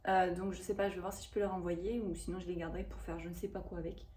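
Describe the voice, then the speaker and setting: A woman in her thirties chatting casually to camera about a shopping haul, rambling and expressive with lots of fillers. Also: on the low side, quick pace, slight background noise. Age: 30s. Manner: chatty and rambling, with frequent fillers and self-corrections. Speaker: vlogger reviewing a shopping haul.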